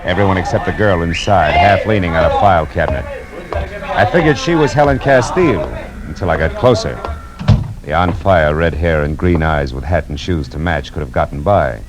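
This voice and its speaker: Hard-boiled American detective, very low pitch, animated delivery, music, vintage radio. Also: male voice, normal speed. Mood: wry, hard-boiled. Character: laconic hard-boiled private detective, steady and protective. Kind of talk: 1940s radio noir acting and narration.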